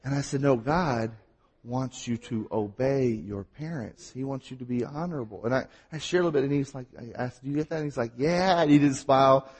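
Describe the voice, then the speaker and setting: A man with a Mississippi accent preaching a sermon, telling stories and at times talking fast. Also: medium pitch, a little mellow, husky. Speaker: pastor.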